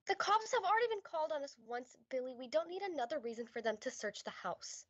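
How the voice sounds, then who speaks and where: A woman's voice: high voice, expressive, fast, a bit bright, no echo, dull audio. A woman playing an exasperated, cash-strapped mother in a comic radio sketch, with melodramatic delivery.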